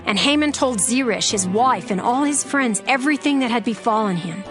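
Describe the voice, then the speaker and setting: A woman narrating a dramatized scripture reading in a measured, formal way. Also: on the high side, expressive, over music.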